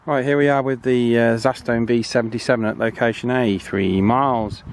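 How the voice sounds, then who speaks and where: A man's voice: medium pitch, steady tone, normal speed. A British man hosting a radio gear review in a casual, conversational way. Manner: informal, conversational. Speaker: radio review video host. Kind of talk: casual hands-on product review. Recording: very noisy, vehicle and radio noise.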